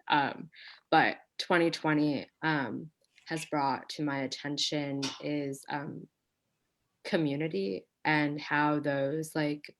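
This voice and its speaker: A woman in her forties, a teaching artist, reflecting thoughtfully in a workshop discussion with hesitant pauses. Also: low-pitched. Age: 40s.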